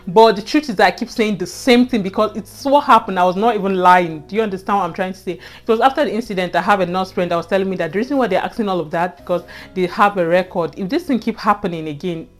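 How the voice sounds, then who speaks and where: A young Nigerian woman with a Nigerian accent, earnestly telling a personal story to her viewers and often repeating herself and adding "you know". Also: mid-range voice. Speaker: vlogger. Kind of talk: casual storytelling to camera.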